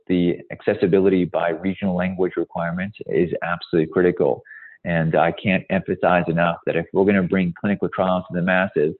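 Deep voiced guy pitching his product on a webinar, sounds like a phone line. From Hungary. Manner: emphatic, repeats "absolutely critical". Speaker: guest presenter, tech company executive. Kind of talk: webinar presentation and Q&A. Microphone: video call. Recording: tinny phone-like call audio.

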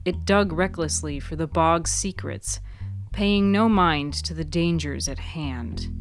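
Woman reading a fiction podcast, low voice, measured, soft plucked string music behind. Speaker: author and narrator of a fiction podcast. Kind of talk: scripted story narration.

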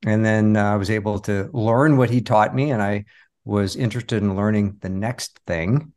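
A man's voice: lowish voice, fairly even intonation, normal speed, a little mellow. A man being interviewed as a podcast guest, telling stories casually in an earnest, reflective way.

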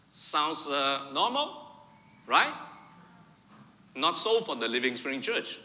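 A male pastor, preaching and telling a personal story, rising loud for emphasis and dropping to quiet asides. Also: high voice. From Vietnam. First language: Vietnamese. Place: church sanctuary. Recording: reverberant church hall.

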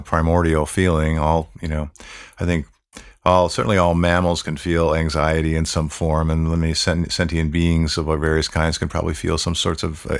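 A man hosting a podcast, talking in a calm, measured way with many fillers. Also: very deep voice. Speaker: podcast host. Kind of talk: reflective podcast chat.

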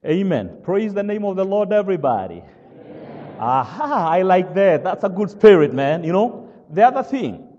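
A man from Kenya with a Kenyan accent, whose first language is not English, preaching a sermon as pastor. He speaks slowly and haltingly, fills pauses with "uh" and keeps asking "all right?". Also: sits high, sing-song, dark tone, in a large hall, lo-fi sound. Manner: slow, halting with many "uh" fillers, checks "all right?".

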